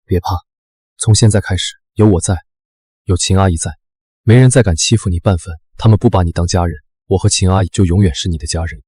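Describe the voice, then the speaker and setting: A man's voice: sits low, fairly even intonation, quick pace, warm tone, breathy edge, no room sound, studio quality. An actor playing a protective, commanding young man in a short drama, speaking with firm assurance. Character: protective, commanding young male rescuer with cold authority.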